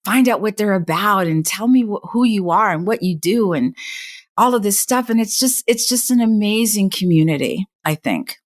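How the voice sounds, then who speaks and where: A woman's voice: mid-range voice, animated, normal speed. A middle-aged woman from the United States talking casually as a guest on a podcast.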